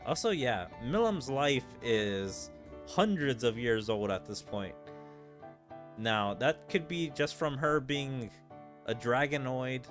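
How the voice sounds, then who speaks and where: A man narrates and comments on a game in a casual, rambling way. His pitch moves around a lot, and game music plays softly behind him. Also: animated, slow. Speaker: gaming streamer. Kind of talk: reading game dialogue aloud with casual commentary.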